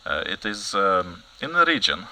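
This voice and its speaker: A man plays a mysterious foreign informant in a news satire. His voice is deep with a sharp, bright edge. He speaks haltingly and solemnly, evasive and completely deadpan. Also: slight background noise, heavily acted. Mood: cryptic, solemn. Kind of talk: satirical news audio comedy acting.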